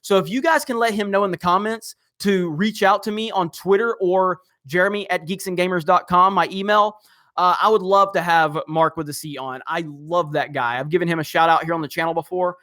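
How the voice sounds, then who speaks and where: A man's voice: high-pitched, fairly quick, sharp tone. A man hosting a live stream, reading comments in a rambling, casual way with lots of fillers and chuckles.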